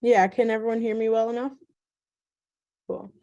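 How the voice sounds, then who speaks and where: A woman's voice: a little high, steady tone, normal speed, mellow, lo-fi sound. A young woman from Minneapolis speaking casually on a video call panel, with frequent ums. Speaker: youth program coordinator.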